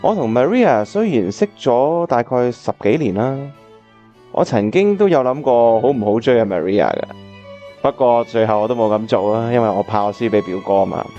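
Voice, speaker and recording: A man's voice: medium pitch, lively intonation, normal speed, warm tone, muffled recording, faint music behind, natural voice. A man playing an earnest, reflective bachelor in a Cantonese radio drama, with a Hong Kong accent. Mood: heartfelt speech. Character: earnest, reflective bachelor looking back on old love.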